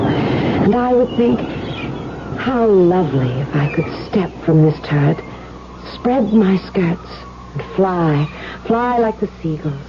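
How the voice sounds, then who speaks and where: An actress playing a sorrowful, resigned young wife with quiet bitterness, measured and wistful in a 1970s radio drama. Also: female voice, lowish voice. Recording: dull, noisy vintage radio recording.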